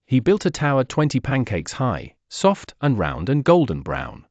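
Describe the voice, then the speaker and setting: A man reading a scripted children's story aloud in an animated, expressive storytelling manner. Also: mid-range voice, normal speed, no echo, dull audio.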